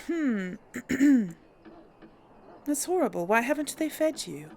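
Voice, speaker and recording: Woman game streamer, casual and chatty; lively pitch, vocal fry. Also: slow. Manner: casual, chatty, laughs often. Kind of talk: casual let's-play commentary with in-character lines.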